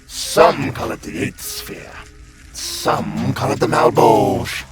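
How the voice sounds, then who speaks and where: Sleazy demon guide, glib and slangy, wildly animated, unhurried drawl, comic dub, prominent music behind. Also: male voice, medium pitch. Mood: sly, boastful. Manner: slangy, glib, theatrical sales patter. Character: wisecracking sleazy demon guide of hell, male salesman type. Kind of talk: comic-book dub voice acting. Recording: loud music under the voice.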